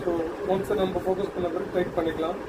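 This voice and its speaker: A man with a notably high voice and a flat delivery walks through a product demonstration. He, drops in English terms and often restarts phrases in a halting way. Steady, clearly audible noise sits behind him. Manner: halting, repeats words while explaining.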